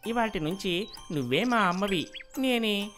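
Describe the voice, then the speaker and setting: A woman's voice: medium pitch, expressive, measured pace, a bit bright. A woman as an earnest talking crow, music behind. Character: devoted male talking crow, gentle cursed human son. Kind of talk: storybook character voice.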